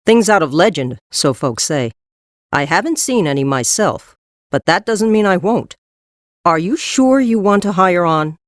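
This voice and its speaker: A woman's voice: fairly low pitch, lively intonation, a little slow, no echo, studio quality. A woman acting a tough but kindly horse trader in a full-cast audiobook, blunt, practical and no-nonsense. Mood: businesslike, stern.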